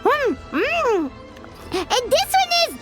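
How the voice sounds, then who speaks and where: A woman voicing a tiny, excitable, gluttonous fairy companion in a video game, squeaky and childlike, exclaiming dramatically. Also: slow, over loud music, full character voice. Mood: excited, gushing. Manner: refers to self in third person, exclamatory.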